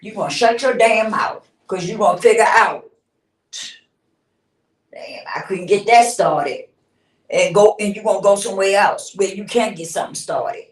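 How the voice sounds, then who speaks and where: A woman's voice: mid-range voice, moderate pace, far from the mic. A woman in her fifties talking bluntly and casually on a livestream, swearing freely and laughing often. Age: 50s. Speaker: livestream host. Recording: roomy sound, speaking away from the mic.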